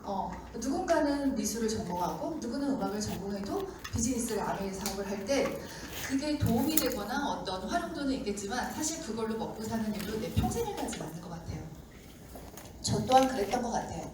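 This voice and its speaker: A woman giving a seminar talk to an audience, slightly hoarse from a sore throat, chatty and acting out the doubts of skeptics. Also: a little high, sing-song, a little fast. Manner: chatty, acts out doubters' lines. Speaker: seminar speaker. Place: lecture room with audience. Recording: echoey hall mic from far off with noise.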